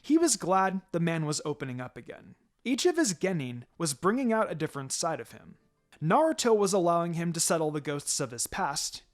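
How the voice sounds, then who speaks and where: A man's voice: on the high side, sing-song, brisk. A man reading fan fiction aloud as a narrator, in a dramatic scripted storytelling style. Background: music.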